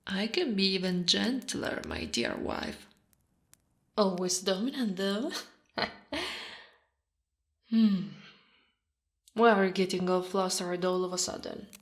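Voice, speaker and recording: A woman from Italy voicing a short female orc guard in intimate ASMR roleplay, gently dominant and doting, soft and breathy with slips into whispering. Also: medium pitch, measured pace, close to an everyday voice. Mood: affectionate, teasing. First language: Italian.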